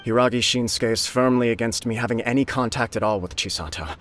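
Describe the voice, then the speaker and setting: A man's voice: mid-range voice, fast, a bit bright, lightly acted. An actor playing an earnest young nobleman who speaks sincerely and respectfully, in a plain, direct way. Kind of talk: game dialogue voice acting.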